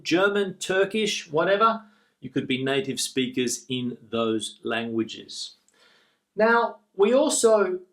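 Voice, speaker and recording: A man with an Australian accent, an English teacher explaining vocabulary clearly and repeating the key words. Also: medium pitch, a bit bright. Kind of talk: online English lesson.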